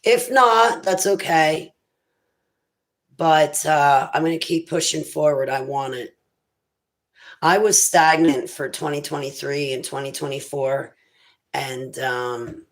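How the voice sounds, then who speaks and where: Slow-talking raspy woman host. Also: a bass voice, fairly even intonation. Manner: rambling, faith-filled musings. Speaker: internet radio host. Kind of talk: casual livestream chat.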